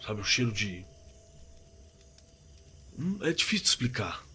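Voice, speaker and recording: A man with a Brazilian accent playing a shaken working-class man who haltingly recalls a frightening night in an audio drama, repeating himself and trailing off. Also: fairly low pitch, sing-song, bright, forceful tone, slightly rough, heavily acted. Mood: uneasy, remembering. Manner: halting, repetitive, colloquial, trailing off. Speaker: eyewitness telling his own horror story. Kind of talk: informal spoken testimony in an audio drama.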